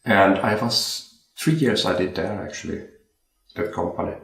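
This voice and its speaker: A young man from Sweden talks about his watchmaking career in an easy, halting way, restarting and repeating words as he thinks. His voice sits very low. The sound bounces around a home room. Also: creaky, fairly bright tone. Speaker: watchmaker guest. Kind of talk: casual interview chat. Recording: roomy home interview.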